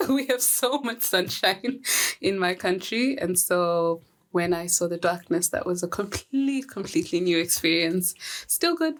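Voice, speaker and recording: Zambian-born woman hosting a podcast. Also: on the low side. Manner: friendly, laughs often. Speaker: podcast host. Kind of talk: casual podcast conversation.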